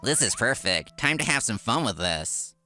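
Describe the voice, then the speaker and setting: A man's voice: mid-range voice, very animated, normal speed, pushed and bright, faint music behind. A man voicing a cocky, spiteful office worker in a comic dub, with a sneering, taunting manner. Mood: scheming. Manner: sneering, taunting, stammers when cornered.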